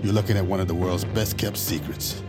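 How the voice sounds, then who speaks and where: An actor playing a wry, urgent leader of escaped captives in an audio drama, gruff and terse. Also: male voice, sits low, fairly even intonation, fairly quick, over loud music, close to an everyday voice. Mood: dry, intent.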